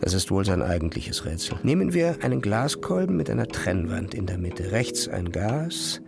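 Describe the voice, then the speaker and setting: Brooding physicist, low gravelly voice. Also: male voice, big pitch swings. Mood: reflective. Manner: introspective, measured inner monologue. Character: brooding male physicist, obsessed, feverish, narrating his life. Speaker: narrator and lead character. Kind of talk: literary first-person radio drama narration. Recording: music behind the voice. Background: music.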